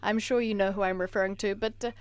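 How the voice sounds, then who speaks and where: A woman interpreting a conference presentation in a steady, deliberate way. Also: medium pitch, dry recording.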